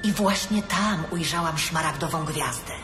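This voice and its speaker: An actress playing a grave, determined elf heroine in an audio drama, speaking in an earnest, serious way. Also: female voice, low voice, moderate pace, roomy sound, music in the background, natural voice.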